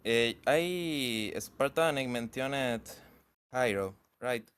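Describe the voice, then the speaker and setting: A man's voice: medium pitch, expressive, slow pace, fairly bright tone, clean tone. A man with a Spanish accent, a native Spanish speaker, answering as a game developer in a laid-back, slangy, frank way. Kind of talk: casual interview chat.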